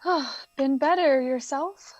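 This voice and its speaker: Radio drama lead: actress as an uncertain, sincere young woman, notably high-pitched, closely and dryly recorded. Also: takes it slowly, no room sound, muffled recording. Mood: uncertain, bewildered. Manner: hesitant, sincere.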